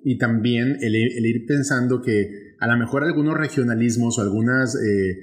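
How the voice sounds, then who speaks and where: A man's voice: mid-range voice, moderate pace. A young man with a northern Mexican accent, co-hosting a podcast in a casual, rambling way with many restarts. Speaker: podcast co-host. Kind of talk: casual conversation.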